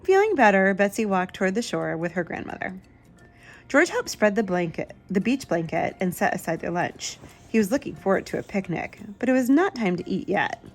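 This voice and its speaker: Female storyteller for young kids, medium pitch, expressive picture-book delivery, light music underneath. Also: fairly quick. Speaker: children's book reader, channel host. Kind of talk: picture-book read-aloud with asides to a child.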